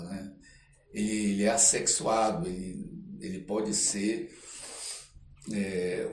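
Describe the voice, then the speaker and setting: A Brazilian man with a lowish voice tells stories as an interview guest, reflective and rambling. He speaks very slowly and often closes phrases with a little checking tag. The room is echoey, with faint noise behind him. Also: a little mellow. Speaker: interview guest, performing artist. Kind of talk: casual storytelling in a podcast interview.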